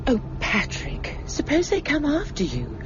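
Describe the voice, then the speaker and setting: Fretful British young woman, well-bred, very lively pitch, radio drama, muffled sound. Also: unhurried, exaggerated voice. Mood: worried. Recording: muffled lo-fi audio with background noise.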